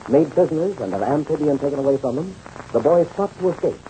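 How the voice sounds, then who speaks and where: A man's voice: a little high, lively intonation, fast talker, warm tone, natural voice. A man narrating an adventure serial as an announcer in the dramatic style of 1930s radio. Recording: noisy, muffled old radio transcription.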